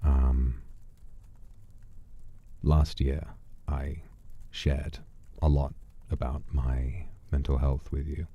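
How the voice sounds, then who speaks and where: A man hosting a livestream, chatting casually and reflectively in a soft-spoken, sincere, unhurried way that often drops to a breathy murmur. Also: very deep voice, level tone, muffled recording. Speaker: livestream host.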